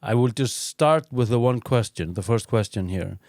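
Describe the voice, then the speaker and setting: A man hosting a podcast who introduces his guest and asks questions in a measured way, with frequent listening murmurs. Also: mid-range voice, vocal fry, darkish tone, no echo, clean studio sound. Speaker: podcast host. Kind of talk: interview hosting.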